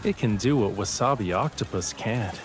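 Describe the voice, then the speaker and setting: Romantic game lead: playful young man, male actor, very animated intonation, affectionate and a little smug, music underneath. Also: fairly low pitch, moderate pace, lightly acted. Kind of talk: romantic game audio drama acting.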